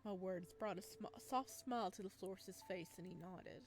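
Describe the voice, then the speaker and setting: A woman playing a visual novel, reading its text aloud and breaking in with excited reactions. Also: mid-range voice, moderate pace, slight background noise. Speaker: game streamer.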